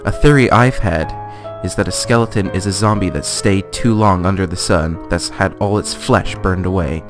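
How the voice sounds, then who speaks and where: A man giving a theatrical, deliberate dramatic reading of a fantasy guidebook. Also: low voice. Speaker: let's play gamer. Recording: guitar music behind voice. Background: guitar music.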